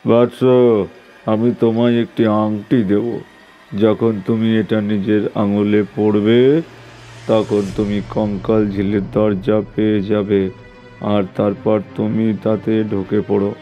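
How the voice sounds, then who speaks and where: A man playing a calm, wise holy man in a horror cartoon, speaking in a measured, formal and reassuring way. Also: lowish voice, dull audio, faint music behind, close to an everyday voice. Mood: wise, instructing. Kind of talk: dramatized horror story for cartoon.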